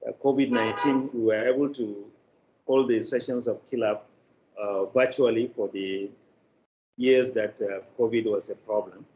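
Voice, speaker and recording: An older man with a Nigerian accent telling his story as an interview guest, in a halting, deliberate, emphatic way. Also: medium pitch, a little mellow, a little hiss or hum. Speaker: interview guest, film producer and festival founder. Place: indoor room, on a video call. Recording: dull, lo-fi video call audio.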